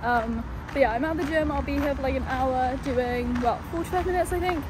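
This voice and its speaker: A woman's voice: high voice, fairly quick, background noise. A young woman in her twenties with an English accent, chatting casually in a vlog and rambling with lots of fillers. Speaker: vlogger.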